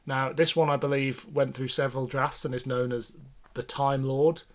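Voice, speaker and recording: A man's voice: medium pitch, fairly even intonation, moderate pace. A man co-hosting a podcast in casual conversation, informal and thinking aloud as he goes. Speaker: podcast host. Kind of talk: casual discussion.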